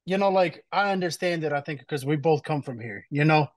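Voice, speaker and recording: A man's voice: on the high side, brisk, no echo, clean studio sound. A young man co-hosting a podcast, speaking in a laid-back casual way over a video call. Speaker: podcast co-host. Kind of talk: casual interview chat.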